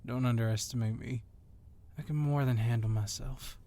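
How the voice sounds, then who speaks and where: A man's voice: medium pitch, steady tone, moderate pace, mellow, natural voice. A man playing an agile, confident police officer with a casual, flirtatiously admiring manner in an ASMR roleplay. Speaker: voice actor in audio roleplay.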